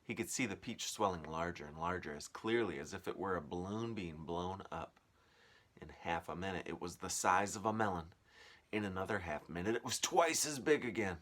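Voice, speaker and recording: A man's voice: sits low, normal speed, fairly bright tone. A man reading a storybook aloud like a teacher, expressively and with dramatic, varied emphasis.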